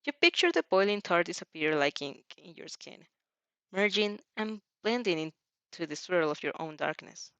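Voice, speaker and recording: A woman reading fan fiction in an expressive storytelling way with casual, chatty asides. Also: fairly low pitch, normal speed, no echo. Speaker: fan fiction reader and reactor. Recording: dull, muffled lo-fi recording.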